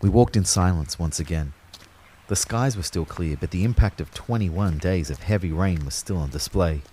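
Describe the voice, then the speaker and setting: A man's voice: low-pitched, normal speed, mellow, husky. An actor playing a wry, uneasy young man who narrates his own afterlife in an audio drama, speaking in a hesitant, conversational way. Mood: reflective. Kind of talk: first-person audio drama narration and dialogue.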